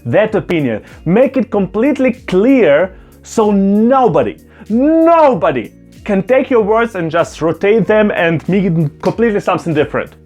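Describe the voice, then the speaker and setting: Man vlogging to camera, non-native english speaker, slightly high voice, earnest and emphatic, soft music behind. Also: sing-song, moderate pace. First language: not German; Russian-speaking background. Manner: earnest, emphatic, repeats phrases for stress. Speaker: YouTuber. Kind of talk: direct-to-camera opinion vlog. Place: indoors, talking to camera.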